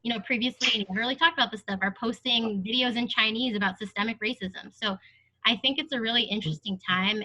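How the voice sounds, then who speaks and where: Young woman panelist, earnest and thoughtful; bright tone on muffled audio. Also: medium pitch. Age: young adult. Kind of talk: panel discussion.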